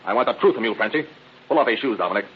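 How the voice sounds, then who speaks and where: An actor playing a rough, slangy, bullying American gangster in 1930s radio drama style. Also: male voice, on the low side, expressive, very fast, lightly acted. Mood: menacing. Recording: roomy, dull, noisy old radio recording.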